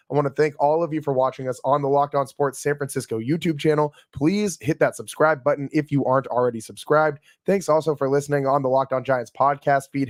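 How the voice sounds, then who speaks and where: A man with a raspy, worn voice hosts a casual sports podcast, chatting through a game recap with frequent fillers and restarts. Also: mid-range voice, no echo, clean studio sound. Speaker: podcast host.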